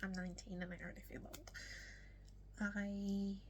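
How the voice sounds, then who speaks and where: A woman's voice: medium pitch, level tone, moderate pace, a little hiss or hum. Young woman with a strong Yorkshire accent. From West Yorkshire, England. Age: young adult. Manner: soft, frequently whispery delivery with many ums. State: hiccups. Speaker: vlogger. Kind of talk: casual get-to-know-me chat.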